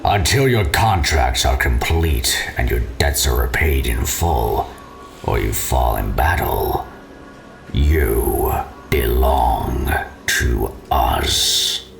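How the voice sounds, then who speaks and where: An actor playing a menacing alien overlord in an audio drama, speaking in cold, deliberate pronouncements with a gravelly, breathy edge. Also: male voice, rumbling low, animated, music in the background, exaggerated voice. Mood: commanding, ominous. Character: menacing alien overlord addressing captive conscripts. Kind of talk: scripted audio drama acting.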